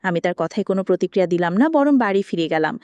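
A woman's voice: mid-range voice, lively intonation, quick pace, dark tone, dry recording, muffled recording. A woman reading a scripted romantic story aloud in the first person, as an audio story narrator.

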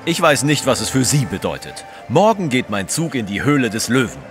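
A polite but competitive gentleman reporter in a radio play. He speaks formally and properly, his mid-range voice moving up and down a lot, with music clearly underneath. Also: medium pitch, very animated, a little fast. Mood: brisk, competitive. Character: courteous, competitive English newspaper reporter, somewhat stiff. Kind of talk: German audio drama acting.

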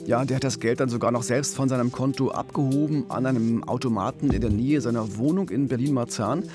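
A man's voice: medium pitch, fairly quick. Man telling true crime over music. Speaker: podcast co-host. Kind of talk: true-crime podcast storytelling in conversation. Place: radio studio. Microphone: studio microphone on boom arm with pop filter. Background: background music.

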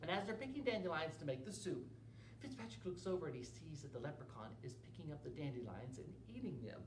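A middle-aged man telling a children's story in an animated way. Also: a little high, quick pace. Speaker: library storyteller. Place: small indoor room at a library. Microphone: camera a few feet away. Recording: roomy, distant camera mic, faint hum.

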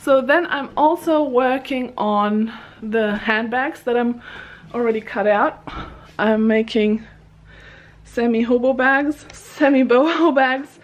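A woman in her thirties chatting upbeat to a camera held at arm's length in a small home craft room, laughing between words. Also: on the high side. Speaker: vlogger. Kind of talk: casual vlog chat. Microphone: handheld camera at arm's length.

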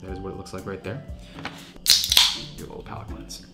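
A man's voice: deep voice, fairly quick, vocal fry, loud music behind the voice. A man in his thirties with a nasal, stuffed-up voice from a cold, chatting casually to camera while trying snacks in his kitchen. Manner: chatty, animated, with frequent restarts. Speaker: food vlogger. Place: home kitchen, indoors.

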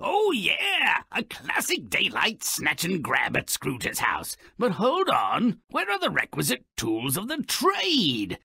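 A man voicing a mischievous, grumpy little creature as a sardonic video game narrator, with a gravelly, raspy voice and a mocking, grandiloquent manner. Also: mid-range voice, sharp tone, dry recording, studio quality, heavily acted. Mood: gleeful scheming. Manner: mocking, grandiloquent, relishing misfortune.

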